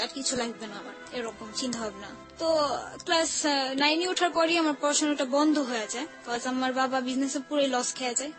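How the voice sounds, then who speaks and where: A woman's voice: sits high, a bit bright. A young woman with a Bangladeshi accent, telling personal stories candidly in casual colloquial talk as a show guest. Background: background music.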